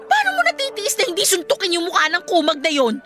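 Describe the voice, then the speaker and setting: An exasperated Filipino woman friend in a radio drama. Her voice is high, sharp and very animated, pressing every point like a blunt scolding. Music plays behind her. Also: quick pace, exaggerated voice. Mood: indignant. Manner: scolding, emphatic, straight-talking. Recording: music playing behind the dialogue.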